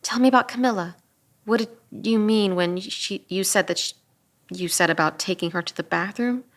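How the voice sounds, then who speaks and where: An actress playing a steady female police detective who questions a suspect directly in an audio drama table read. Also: medium pitch, moderate pace, natural voice. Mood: probing, concerned. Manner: probing, direct.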